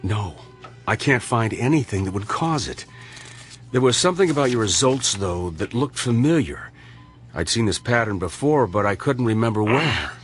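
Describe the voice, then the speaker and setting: Actor as a low-voiced, principled male physician. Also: animated, soft background music, natural voice. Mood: grave. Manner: dry, measured, sardonic asides. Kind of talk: radio drama acting.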